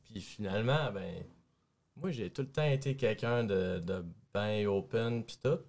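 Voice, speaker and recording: Young male panelist, lowish voice, Quebec French accent, blunt street-level casual delivery. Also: a little slow, darkish tone. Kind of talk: casual chat. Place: small radio studio.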